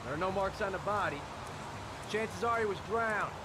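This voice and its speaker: Actor playing an irritable, high-pitched male police lieutenant. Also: moderate pace, lightly acted. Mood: cynical, businesslike. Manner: brusque, cynical, irritable. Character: gruff, impatient, foul-mouthed male police lieutenant. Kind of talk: video game voice acting. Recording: loud outdoor machinery and traffic noise.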